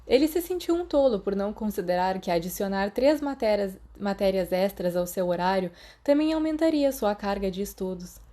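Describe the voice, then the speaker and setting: A woman's voice: mid-range voice, expressive, normal speed, few pauses, warm tone. A woman with a Brazilian accent narrating a story from a script as an audiobook reader, with the odd small stumble.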